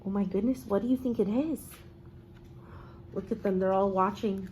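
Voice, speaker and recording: A woman teacher reading a picture book aloud expressively, pausing to encourage young listeners with questions and praise. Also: medium pitch, a little mellow, a little hiss or hum, poor audio.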